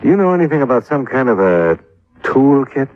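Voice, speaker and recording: An actor playing a wry, stubborn American insurance investigator in a clipped 1950s radio detective style. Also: male voice, medium pitch, very animated, fairly quick, close to an everyday voice. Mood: matter-of-fact. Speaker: lead actor and narrator. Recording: muffled, noisy old radio recording.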